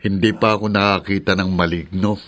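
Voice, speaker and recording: An actor playing a level-headed, dismissive grown son in a Filipino radio drama. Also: male voice, sits low, steady tone, a little fast, a bit bright. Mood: reassuring. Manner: dismissive, matter-of-fact.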